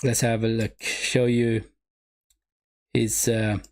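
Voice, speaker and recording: A man's voice: lowish voice, level tone, slow pace. A man whose first language is Somali, running a live football watch-along and calling the game for his viewers, often repeating his phrases. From Sweden.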